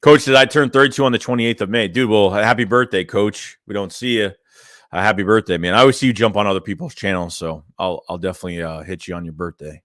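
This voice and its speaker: Bright-voiced casual sports fan host. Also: male voice, fairly low pitch, steady tone, quick pace, no room sound, studio quality. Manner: loose, rambling, lots of "man" and "dude". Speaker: fan channel host reading live chat. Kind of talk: casual livestream chat.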